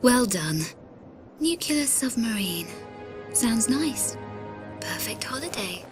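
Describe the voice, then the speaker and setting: An actress playing a cool, sardonic young British adventuress in a video game, with a dry, teasing manner and a British accent. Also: female voice, a little high, animated, takes it slowly. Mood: wry. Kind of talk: video game cutscene acting. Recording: loud music over the dialogue.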